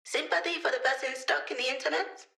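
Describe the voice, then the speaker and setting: A woman's voice: sits high, steady tone, fast talker, creaky, bright, forceful tone, distant mic, exaggerated voice. Sassy young female hacker. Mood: wry. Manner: wry, teasing, sarcastic. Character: sassy young female hacker, digital projection, playful and cocky. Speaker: video game voice actress. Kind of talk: video game character voice lines.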